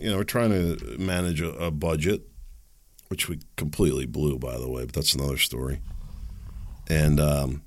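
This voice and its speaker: Deep-voiced man in his fifties, dry booth sound, loose sarcastic solo podcaster. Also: no room sound. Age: 50s. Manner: rambling, sarcastic, self-deprecating asides. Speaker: podcast host. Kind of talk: casual solo podcast monologue.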